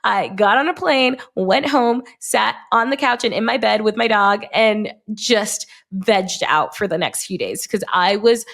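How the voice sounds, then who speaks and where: A young woman hosting a solo podcast in a casual, chatty way, with emphatic wording and girly slang. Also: fairly high pitch. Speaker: podcast host.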